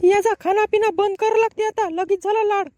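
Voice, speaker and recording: Man putting on a shrill nagging village mother. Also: fairly even intonation, fairly quick, no echo. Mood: indignant nagging. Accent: rural Maharashtrian. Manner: nagging, sharp-tongued.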